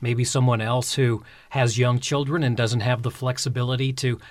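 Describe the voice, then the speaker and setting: A male radio host asks his guest plain, measured questions. His voice sits at a mid pitch. The recording is dry and close. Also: little pitch movement, dry recording.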